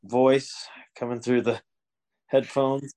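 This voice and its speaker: A man's voice: medium pitch, level tone, slow, a little mellow. A man with a nasal voice and an upstate New York accent, hosting a podcast in easygoing, self-deprecating chat. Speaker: podcast host. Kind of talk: casual chat.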